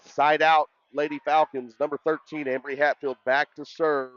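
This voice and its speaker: A man with a loud voice doing live play-by-play volleyball commentary in an excitable, folksy way. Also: on the high side, moderate pace, dull audio. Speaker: sports play-by-play announcer.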